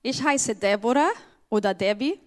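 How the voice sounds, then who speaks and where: A woman from Brazil with a Brazilian accent, not speaking her first language, giving an earnest personal testimony in church. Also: fairly high pitch. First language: Portuguese. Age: adult with an eleven-year-old daughter. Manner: slow, earnest, careful phrasing.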